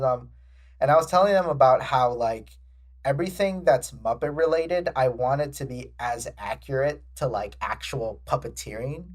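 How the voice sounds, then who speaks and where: A man hosts a laid-back podcast conversation, his voice sitting at an ordinary middle pitch. He rambles, restarting sentences and leaning on fillers as he goes. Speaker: podcast host. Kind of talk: casual podcast chat.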